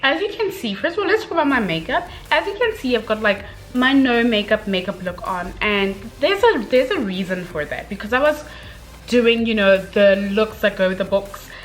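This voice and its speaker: A young woman giving a casual, chatty book review, speaking in an expressive, gushing, slangy way with dramatic emphasis. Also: fairly high pitch, moderate pace, low-quality recording.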